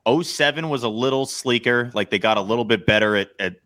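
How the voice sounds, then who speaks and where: A man's voice: mid-range voice, a little fast, pushed and bright, no echo, clean studio sound. An American man in his thirties talking casually and with opinions, like a sports podcast co-host. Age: 30s.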